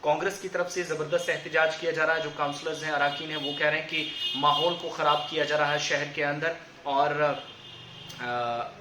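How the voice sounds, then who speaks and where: Guy reading out news, sharp bright voice, pretty even tone, echoey room w a bit of noise. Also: a little high, moderate pace. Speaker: news presenter. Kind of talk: news reporting, semi-improvised explainer.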